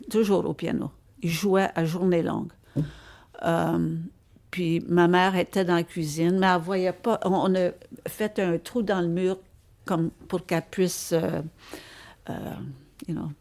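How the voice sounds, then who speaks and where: Older female interviewee, Quebec French accent, creaky lower register, halting casual recollection with stray English words. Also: darkish tone. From Quebec, Canada. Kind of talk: casual reminiscing conversation.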